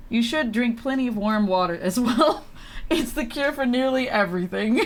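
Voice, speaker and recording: A woman's voice: a little high, expressive, normal speed. A woman livestreaming a video game, chatting casually and reading game text aloud in a giggly, reactive manner.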